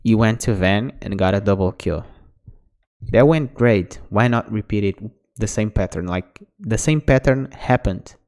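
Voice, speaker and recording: A man, a native Portuguese speaker, talks a player through their mistakes in a relaxed coaching tone. His voice sits low and sounds smooth and mellow. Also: measured pace. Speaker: game coach. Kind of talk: casual demo review commentary.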